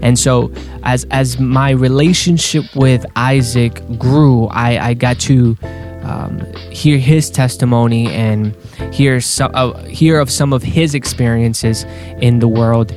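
A man hosting a faith podcast, talking earnestly and a little haltingly with fillers and restarts. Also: medium pitch, fairly even intonation, darkish tone. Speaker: podcast host. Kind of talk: casual podcast intro. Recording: clear guitar music underneath.

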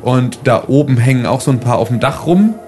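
A man narrates an improvised tabletop role-playing scene at a quick pace. His voice sits at a middling pitch, and his wording is colloquial and descriptive, worked out as he speaks. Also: animated, mellow, soft background music. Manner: colloquial, descriptive, thinking aloud. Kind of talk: casual improvised storytelling among friends.

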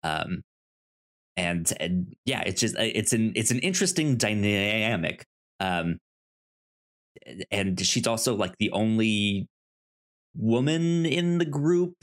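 A man with a fairly low voice chats about film as a co-host. He takes his time, stumbling and repeating the beginnings of words as he works through a thought. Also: lively intonation. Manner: halting, stammering with repeated word starts. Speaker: podcast co-host. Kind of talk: casual film discussion.

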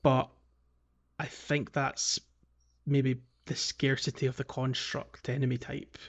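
A man with a mid-pitched voice hosts a gaming podcast in casual conversation. He talks haltingly, starting phrases over and letting some trail off. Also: little pitch movement, highs cut off. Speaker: podcast host.

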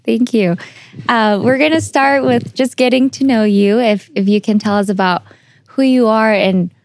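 A woman's voice: fairly high pitch, moderate pace, darkish tone. Colombian-born woman hosting a podcast. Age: young adult. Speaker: podcast host. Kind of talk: scripted intro and casual interview.

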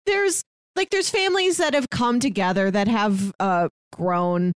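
A woman's voice: medium pitch, measured pace, clean tone, no echo, clean studio sound. A woman hosting a podcast, chatty and conversational, asking questions and recapping at length. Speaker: podcast host. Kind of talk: casual chat recapping a show.

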